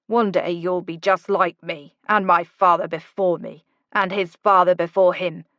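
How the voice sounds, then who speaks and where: A woman voicing a stern, domineering father, speaking slowly and heavily. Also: lowish voice, fairly even intonation, a bit bright, dry recording, dull audio. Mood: menacing.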